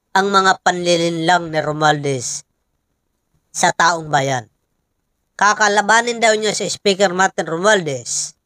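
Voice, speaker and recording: A Filipino woman giving informal political commentary, pausing often and emphatically putting rhetorical questions to listeners. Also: on the low side, a bit bright, no echo.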